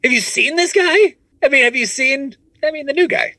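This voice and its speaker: A man talking casually as a comedian guest on a podcast, animated and often restarting his phrases. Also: very high-pitched, moderate pace, no echo, clean studio sound. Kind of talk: casual conversational interview answers.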